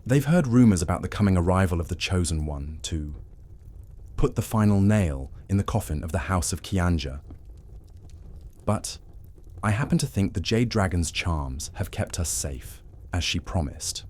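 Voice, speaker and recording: A man's voice: sits low, lively intonation, a little mellow. A man voicing a prim, stern Jinn vampire. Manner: formal, reproachful, measured. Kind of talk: fantasy audio drama acting.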